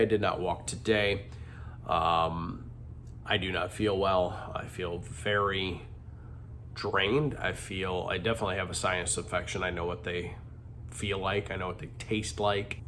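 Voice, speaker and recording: A man's voice: deep voice, fairly bright tone, slight background noise. A middle-aged man with a stuffy, congested nose, talking candidly in a rambling vlog confession full of ums and restarts. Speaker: vlogger.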